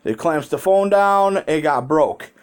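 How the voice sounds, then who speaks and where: Higher-voiced American man telling casual stories. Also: animated, a little slow, clear, resonant tone. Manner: rambling, conversational, profane. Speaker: vlogger. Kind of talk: casual storytime vlog. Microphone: handheld phone.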